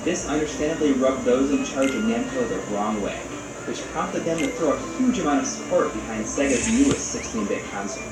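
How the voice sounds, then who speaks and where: Roomy-sounding man narrating over loud music. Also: mid-range voice, animated, brisk, distant mic. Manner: casual, conversational phrasing. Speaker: video game review show host. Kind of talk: scripted video essay narration.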